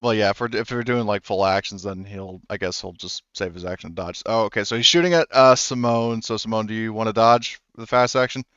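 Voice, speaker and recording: A man acting as game master in a tabletop game, talking with frequent pauses and fillers. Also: fairly low pitch, steady tone, fairly bright tone, smooth, dry recording, highs cut off. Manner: hesitant, lots of fillers and restarts.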